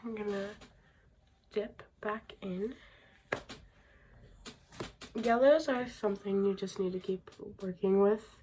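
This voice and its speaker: A young woman talks slowly through a casual makeup tutorial over faint background noise. Her voice is slightly raspy, as she is getting over being sick, and she often murmurs and trails off while she works. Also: medium pitch, warm tone. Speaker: beauty vlogger.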